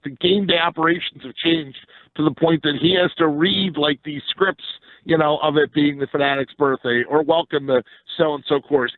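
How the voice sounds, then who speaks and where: A man's voice: on the high side, dry recording, phone-quality sound. An American man chatting casually and bluntly about sports as an opinionated radio guest. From Philadelphia area, USA.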